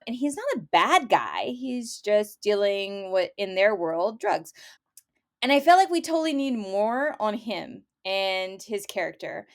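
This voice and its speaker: A woman's voice: medium pitch, sing-song, unhurried. A woman co-hosting a casual book review podcast, talking in an emphatic, opinionated and conversational way. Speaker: podcast co-host reviewing romance novels.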